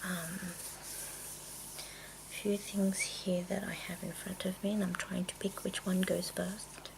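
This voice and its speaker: A woman speaking in a hushed, breathy whisper, casually rambling through products in an unhurried ASMR style. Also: lowish voice, level tone, noisy background. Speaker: ASMR video maker.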